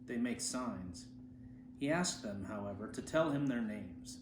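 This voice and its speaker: A man's voice: fairly low pitch, lively intonation, measured pace. A man reading a literary story aloud as an amateur reader. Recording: roomy echo, faint background noise.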